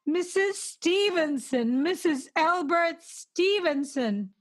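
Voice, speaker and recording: A woman playing an anxious, demanding married invalid in a 1940s-style radio play, insistent and halting as she frets. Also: very high-pitched, expressive, exaggerated voice. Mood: flustered, pleading. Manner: fretful, insistent, halting with repeated words.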